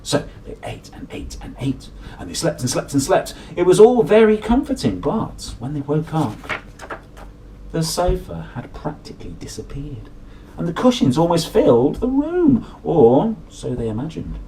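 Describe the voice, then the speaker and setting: Guy reading a kids book at his desk, super animated, dramatic, faint room noise. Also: on the high side, low-quality recording. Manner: playful, dramatic, drawn-out emphasis. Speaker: presenter reading picture books aloud. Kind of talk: animated children's story reading. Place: small room at home, at a desk.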